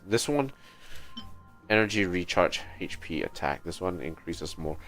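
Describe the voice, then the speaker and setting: Male game streamer, very low gravelly voice, halting think-aloud delivery, light music underneath. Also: a bit bright. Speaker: game livestreamer. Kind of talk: casual livestream gameplay commentary.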